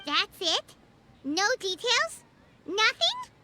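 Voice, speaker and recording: A woman's voice: huge pitch swings, takes it slowly, background noise, full character voice. A woman voicing a tiny childlike fairy companion in a video game, chirpy, perky and cheeky. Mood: incredulous. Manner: squeaky, perky, refers to self in third person. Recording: game dialogue over clear music.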